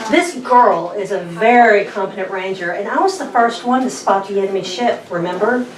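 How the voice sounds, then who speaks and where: A woman's voice: mid-range voice, moderate pace, slight background noise, close to an everyday voice. In an echoey room, a bit far from the mic, a woman plays a spirited young female space ranger. She is defiant and argumentative, in a retro space serial read from a script. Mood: indignant. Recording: roomy, distant mic, chattering group behind.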